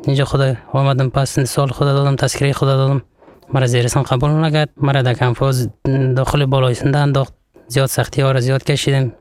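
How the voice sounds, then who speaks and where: A man's voice: medium pitch, little pitch movement, racing, darkish tone, no room sound. A young man from Afghanistan giving a personal account in short, plain sentences. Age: young adult. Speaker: interviewee.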